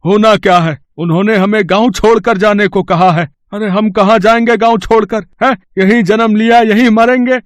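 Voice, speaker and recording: Casting: man as indignant village father in a story drama, high voice, close dry sound, lamenting and bitter. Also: steady tone, normal speed, few pauses, no room sound, close to an everyday voice. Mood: indignant, despairing. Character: angry, shamed old village father in debt.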